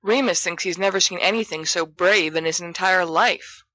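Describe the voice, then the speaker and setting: A woman's voice: on the low side, moderate pace, pushed and bright, no room sound. A woman reading a scripted story aloud as an audiobook narrator. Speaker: volunteer audiobook reader.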